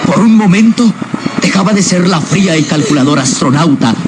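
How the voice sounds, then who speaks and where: A man's voice: sits high, expressive, a little fast, noisy background. A man narrating a radio drama serial in a dramatic, scripted storytelling style. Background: loud music.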